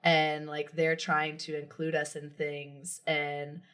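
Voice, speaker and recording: Deep-voiced woman interviewer. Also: completely flat delivery, takes it slowly, a bit bright, clean tone. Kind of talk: casual interview chat.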